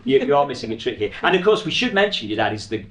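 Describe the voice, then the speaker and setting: A man's voice: medium pitch, big pitch swings, racing, fairly bright tone, a little hiss or hum. A British man co-hosting a podcast, bantering casually with guests in a jokey, self-deprecating way and often repeating his phrases. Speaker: podcast co-host interviewing guests. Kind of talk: casual bantering live interview.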